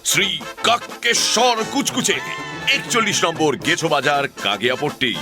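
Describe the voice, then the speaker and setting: A man's voice: a little high, very animated, normal speed, over music. A man voicing a pompous, fussy talking crow bookkeeper in a theatrical children's audio story. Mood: grandly declaiming. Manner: pompous, theatrical, officious delivery.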